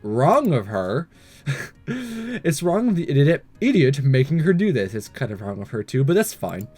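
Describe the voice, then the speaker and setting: A man giving casual, expressive let's play commentary with teasing asides while reading a visual novel aloud. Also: mid-range voice, normal speed, soft background music. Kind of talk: casual game commentary with read-aloud dialogue.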